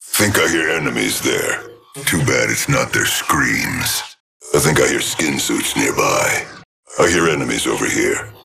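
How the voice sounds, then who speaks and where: A sinister killer robot in a shooter game, extremely deep and forceful. The harsh voice swings widely in pitch as it barks menacing callouts. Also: male voice, animated, unhurried, gravelly, faint music behind, exaggerated voice. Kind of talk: video game voice lines.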